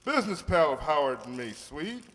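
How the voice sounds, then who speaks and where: A man plays a cocky, placating drug dealer in a radio crime drama. He is on the high side for a man and very animated in pitch, talking persuasively in an echoing hall. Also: moderate pace. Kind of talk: old-time radio crime drama acting. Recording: echoey hall.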